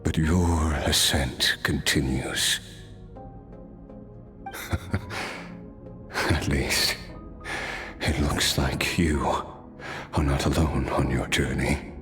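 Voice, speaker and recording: An actor playing a weary cursed immortal man, slow, grave and solemn as he speaks of his release. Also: a bass voice, fairly even intonation, fairly bright tone, faint music behind, exaggerated voice. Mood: reflective. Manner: slow, measured, reflective speech. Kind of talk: video game voice acting.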